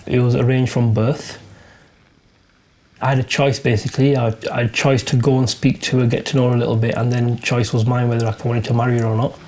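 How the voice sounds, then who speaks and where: A man's voice: medium pitch, little pitch movement, brisk, a little mellow. A middle-aged British man telling a personal story in an informal, colloquial, conversational way. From UK, Pakistani family. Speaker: interviewee.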